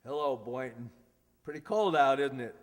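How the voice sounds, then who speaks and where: A man's voice: mid-range voice, lively intonation, moderate pace, natural voice. A man acting in a radio sitcom as a grumpy, officious middle-aged school principal, blustering and irritable. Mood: gruffly polite. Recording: echoey hall, long reverb.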